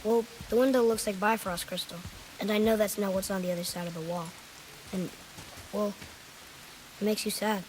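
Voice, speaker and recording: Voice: medium pitch, fairly even intonation, normal speed, long pauses, a little mellow, slight background noise, close to an everyday voice, poor audio. A young performer playing a curious, earnest boy in a cinematic game, with an inquisitive, slightly hesitant manner. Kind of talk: naturalistic cinematic game acting.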